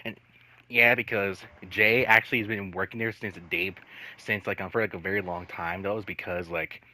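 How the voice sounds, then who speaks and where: A man telling casual stories in a rambling, halting way, swearing freely and leaning on repeated filler phrases. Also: low-pitched, steady tone, sharp tone, poor audio. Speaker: host telling personal work stories. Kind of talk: casual storytelling chat.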